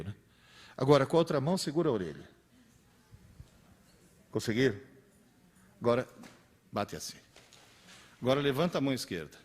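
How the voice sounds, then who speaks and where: A man's voice: mid-range voice, slightly rough. A man in his sixties, born in Italy with Italian as his first language, gives an interactive lecture to teachers. He tells stories and quizzes the audience. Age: 60s. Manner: animated, storytelling, quizzes the audience.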